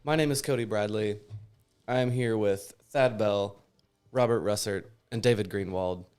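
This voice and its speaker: Smooth, lowish-voiced male podcast host. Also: moderate pace, darkish tone. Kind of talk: casual sports talk. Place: in person around a table with several mics.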